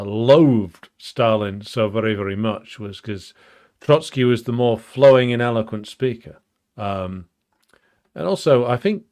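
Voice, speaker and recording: Halting man hosting a live chat. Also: medium pitch, darkish tone. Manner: halting, with many ums and uhs. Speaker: livestream host. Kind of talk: casual live chat, reading out viewer comments.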